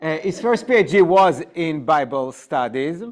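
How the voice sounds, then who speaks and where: A rabbi with an Israeli accent gives an adult Bible class in a synagogue classroom. His voice sits high for a man and he takes his time. He asks the room questions as he explains. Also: expressive, a little slow. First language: Hebrew. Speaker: rabbi teaching adult education. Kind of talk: informal Bible class lecture.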